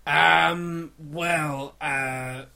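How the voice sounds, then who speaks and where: A man's voice: fairly high pitch, steady tone, extremely slow, gravelly, bright, forceful tone, exaggerated voice. An English man playing a fussy, forgetful old father who stands for England, talking in a bumbling, hesitant, apologetic way. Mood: fussy, muddled.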